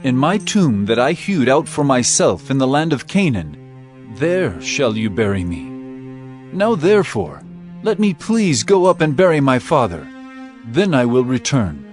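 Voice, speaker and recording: A man's voice: mid-range voice, very animated, measured pace, darkish tone, faint music behind. A man playing a solemn, forgiving biblical governor in an earnest, theatrical scripture drama. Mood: solemn, pleading.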